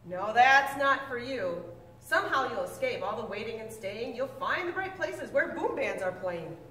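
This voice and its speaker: A woman reading a picture book aloud with lively, expressive delivery, now and then stumbling and correcting herself. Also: moderate pace, a little hiss or hum. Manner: expressive storybook reading with big pitch swings. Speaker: reader. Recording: echoey room, mic at a distance.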